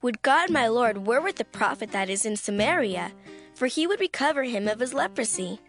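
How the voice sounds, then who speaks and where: A woman's voice: fairly high pitch, expressive, normal speed, a bit bright, soft background music. A woman playing an earnest, wistful young servant maid in a dramatized scripture reading.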